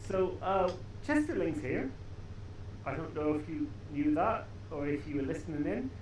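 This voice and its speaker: A high-voiced man roleplaying in a tabletop game, quick and playful. His voice is recorded from a distance in an echoey room, with faint noise behind it. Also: expressive. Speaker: player. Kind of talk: casual in-character roleplay.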